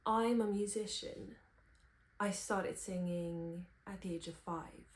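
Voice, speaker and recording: A woman in her twenties from Romania, reflecting candidly and thoughtfully without a script, in halting phrases. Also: medium pitch, steady tone. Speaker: vlogger, singer-songwriter. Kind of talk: unscripted personal reflection. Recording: roomy, echoey sound.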